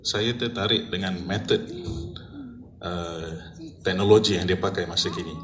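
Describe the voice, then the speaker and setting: A man's voice: low-pitched, a little slow. A man in his fifties with a Malaysian accent giving an informal persuasive talk and switching into English. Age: 50s. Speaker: seller sharing a testimonial. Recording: echoey room, faint noise.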